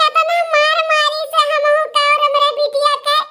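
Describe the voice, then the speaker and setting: A very high, piercingly bright cartoon voice an old village mother-in-law. She speaks with an Awadhi accent, wheedling and complaining as she schemes. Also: completely flat delivery, normal speed, heavily acted. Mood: wailing, complaining. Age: older. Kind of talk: Awadhi comedy cartoon dialogue.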